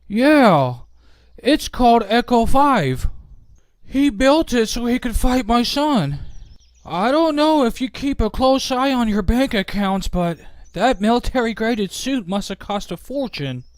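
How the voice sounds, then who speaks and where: A man acts out an earnest suburban father for a Minecraft roleplay. His voice is extremely high, smooth and dry. He is polite and explains himself in deliberate phrases. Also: lively intonation, no echo. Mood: matter-of-fact.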